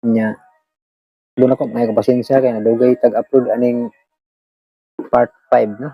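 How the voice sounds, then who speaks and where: Dry-sounding Visayan Filipino vlogger chatting haltingly. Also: mid-range voice, level tone, vocal fry, slightly rough, dry recording, muffled recording. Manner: halting, repetitive, apologetic. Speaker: vlog host who shares radio dramas. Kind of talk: casual rambling chat to listeners.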